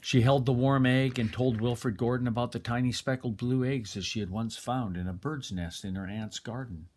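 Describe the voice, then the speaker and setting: A man reading a children's story aloud in an expressive narrating style. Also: mid-range voice, normal speed. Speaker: storybook reader.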